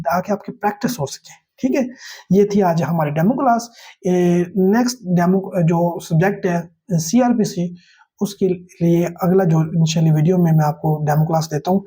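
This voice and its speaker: Male law tutor, Pakistani accent, relaxed and slightly raspy, repetitive explanatory delivery for exam students. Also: high voice. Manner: didactic, repeats key phrases and poses questions to answer himself. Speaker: law teacher. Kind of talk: exam-prep lecture in a demo class.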